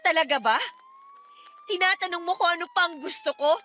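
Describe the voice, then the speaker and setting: A woman's voice: extremely high pitch, fairly quick, pushed and bright, slight background noise. A woman playing a defiant, scornful young housemaid bent on revenge in an emotionally charged radio drama. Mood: vengeful. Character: bitter, vengeful young housemaid confronting her abusive stepfather. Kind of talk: Tagalog radio drama acting. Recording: music bed under the voice.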